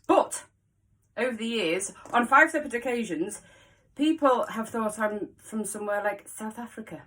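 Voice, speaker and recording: A woman's voice: on the high side, normal speed, a bit bright, far from the mic, poor audio. A woman in her forties from the Wirral with a mild Scouse accent, chatting frankly to camera in a jokey way and laughing often. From the Wirral, England. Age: 40s. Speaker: local vlogger. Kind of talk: casual chatty monologue to camera.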